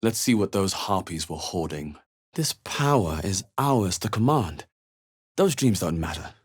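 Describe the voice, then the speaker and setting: An actor voicing a measured, wry male adventurer in a fantasy video game, with understated, dry remarks. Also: sits low, sing-song, husky, dry recording, exaggerated voice. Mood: calm, firm. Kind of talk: video game character voice lines.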